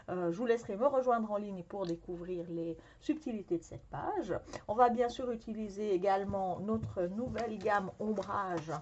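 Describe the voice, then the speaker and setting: A woman's voice: medium pitch, darkish tone, husky. A woman hosting a scrapbooking workshop, presenting supplies in a friendly, casual way with many hesitations. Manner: friendly, frequent hesitations with "euh". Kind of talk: casual product demonstration. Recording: slightly dull lo-fi sound.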